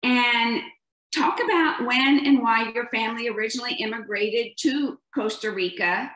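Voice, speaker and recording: Brisk woman interviewer in an echoey room. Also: fairly high pitch, fairly bright tone. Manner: brisk, direct questioning. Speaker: oral history interviewer. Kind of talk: interview questions. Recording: roomy echo.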